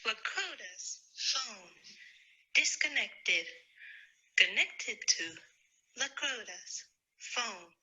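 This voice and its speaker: A woman's voice: fairly high pitch, animated, extremely slow, pauses often, pushed and bright, husky, exaggerated voice, poor audio. A woman playing a repetitive automated Bluetooth car assistant in a table read of a stage play, with a stilted announcement delivery. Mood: automated, insistent.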